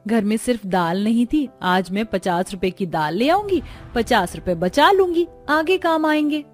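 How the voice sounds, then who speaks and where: A woman playing earnest, expressive family women in a cartoon story. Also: medium pitch. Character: women of cartoon households, earnest mothers, in-laws and neighbours. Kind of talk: Hindi cartoon story acting.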